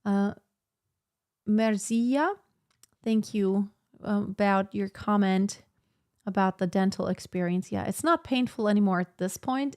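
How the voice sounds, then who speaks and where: A woman's voice: medium pitch, darkish tone. Chatty woman vocal coach on a live stream. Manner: chatty and rambling, many fillers and restarts. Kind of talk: casual live-stream chat reading viewer comments. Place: home in southern Germany.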